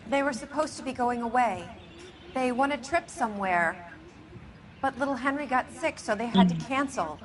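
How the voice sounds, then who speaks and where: A woman's voice: on the high side, animated, moderate pace, natural voice. A woman playing a concerned neighbor giving a witness account in a video game drama. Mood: troubled.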